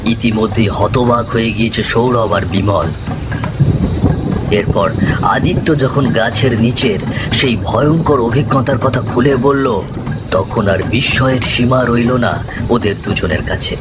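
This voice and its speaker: A man's voice: mid-range voice, measured pace. A man giving scripted, dramatic narration of a ghost story as its storyteller. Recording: clear rain and thunder noise behind. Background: rain, thunder, ambience.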